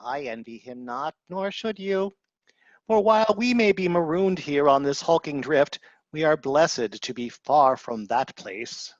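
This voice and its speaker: A man acts out an anguished, grandiose castaway in an absurdist radio play. The voice is fairly high for a male, unhurried and declamatory. It comes over a dull, dry video-call headset. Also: lively intonation, no echo. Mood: ominous. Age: middle-aged. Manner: theatrical, declamatory. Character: grandiose, anguished stranded man given to doom-laden lament.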